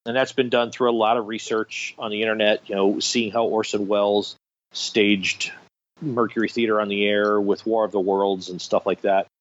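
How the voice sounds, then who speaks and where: A man's voice: lowish voice, level tone, normal speed. Middle-aged man chatting, slightly dull recording. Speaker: guest, radio theater director. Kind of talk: conversational interview storytelling.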